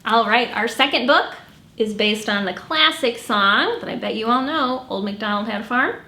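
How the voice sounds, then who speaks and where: A woman hosting a children's story time, reading and singing picture books in an animated way and acting out the sounds. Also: fairly high pitch, moderate pace, roomy sound. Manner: animated, acts out animal sounds and song refrains. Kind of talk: sung picture-book read-along for children.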